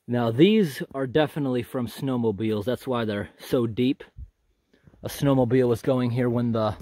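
Um homem gravando com câmera de mão enquanto caminha por uma trilha na floresta, comentando de forma descontraída e observadora o que encontra. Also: male voice, medium pitch, normal speed, dry recording. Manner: relaxed, observant, thinking aloud. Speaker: hiker filming a walking tour. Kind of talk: casual walk-and-talk narration. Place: outdoors on a forest trail. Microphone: handheld camera.

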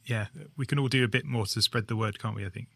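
Quick-talking husky male podcast host. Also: fairly low pitch, steady tone, no room sound. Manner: friendly, informal, short questions. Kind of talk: podcast interview.

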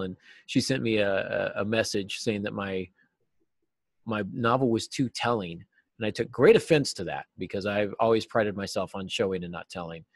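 A man's voice: lowish voice, dry recording. A middle-aged man talking casually as an interview guest on a video call, explaining things with examples and some self-deprecation. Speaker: interview guest, novelist and writing teacher. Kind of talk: casual interview conversation about craft. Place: small cluttered office, indoors. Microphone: video call, seated at a desk.

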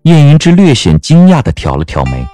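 A man narrating a scripted audio drama as an expressive storyteller. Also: on the high side, fairly quick, soft background music.

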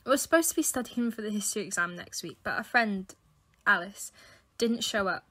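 A woman's voice: medium pitch, lively intonation, fairly quick, fairly bright tone. A young woman playing an anxious, insistent university student in a fan audio drama, urgent and questioning. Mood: earnest. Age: young adult.

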